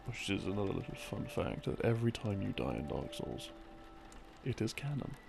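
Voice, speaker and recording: A man telling a rambling story as a sleep aid, in a halting, musing way with pauses, in the role of a boyfriend explaining things. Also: on the low side, sing-song. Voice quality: creaky, gravelly. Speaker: audio roleplay creator playing a boyfriend. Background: background music.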